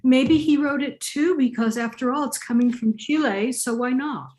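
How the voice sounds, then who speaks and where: A woman's voice: sits high, moderate pace, darkish tone. A woman congregant, the synagogue president, musing wryly on a video call. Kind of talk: casual chat.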